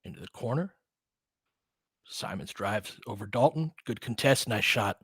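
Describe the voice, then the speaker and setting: A man's voice: lowish voice, expressive, unhurried, fairly bright tone, slightly rough, no echo. A man hosting informal live basketball commentary, chatting conversationally with fans and calling plays in clipped phrases. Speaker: host doing live play-by-play for fans. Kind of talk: casual basketball commentary mixed with chat replies.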